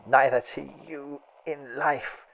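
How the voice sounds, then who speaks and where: A man voicing a dying old aristocrat, bitter and secretive, with a harsh, breathy voice and slow, labored speech in an audiobook horror tale. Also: medium pitch, steady tone, a bit bright, poor audio. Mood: defiant. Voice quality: harsh, breathy rattling whisper.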